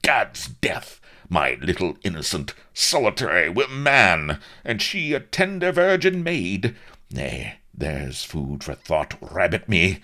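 Roaring, blustering old admiral. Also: male voice, huge pitch swings, slow pace, bright, forceful tone, heavily acted. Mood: ominous suspicion. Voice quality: rumbling, roaring. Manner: archaic salty oaths, pompous bluster. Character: huge bellowing old admiral, blustering, hot-tempered.

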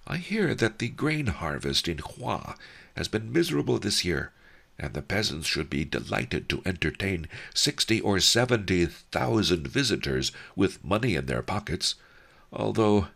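A man's voice: low voice, very animated, normal speed, vocal fry, fairly bright tone, voice pushed up, full character voice. A man voicing a wry, sardonic old male sage who talks in a dry, mocking, formal way. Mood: dry teasing.

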